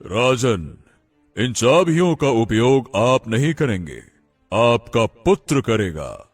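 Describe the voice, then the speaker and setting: A man playing a solemn wandering holy sage in an animated moral story, speaking in measured, weighty pronouncements. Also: mid-range voice, no room sound, studio quality, faint music behind.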